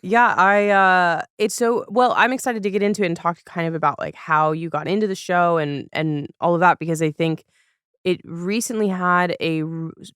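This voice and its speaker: A woman's voice: on the low side, fairly even intonation, normal speed, a bit bright, clear, resonant tone, no room sound, studio quality. A woman hosting a podcast in a casual, conversational style. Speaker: podcast host. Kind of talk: casual chat.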